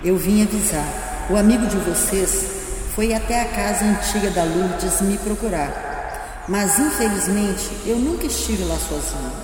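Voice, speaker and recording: Atriz fazendo um fantasma feminino altivo, em eco profundo. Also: female voice, mid-range voice, lively intonation, a little slow, close to an everyday voice. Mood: ominous warning. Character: ghost of a wronged older woman, haughty, cryptic, foreboding. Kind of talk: audio drama dialogue. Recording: very echoey reverb, noisy ambience.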